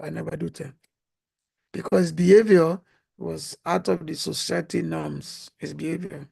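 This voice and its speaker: A man with a high, hoarse voice, gravelly and rough as he loses it, singing a devotional song and then preaching. He speaks halting, full of pauses and restarts. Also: a little mellow. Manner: halting, many fillers and restarts. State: losing his voice. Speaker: speaker giving a religious talk.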